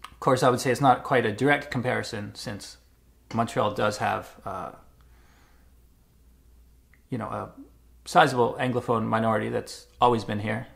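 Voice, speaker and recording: A Canadian man vlogging, speaking in a measured, thoughtful way with frequent uhs and small restarts. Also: fairly low pitch, steady tone, creaky, a bit bright. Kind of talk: reflective personal vlog. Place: Montreal.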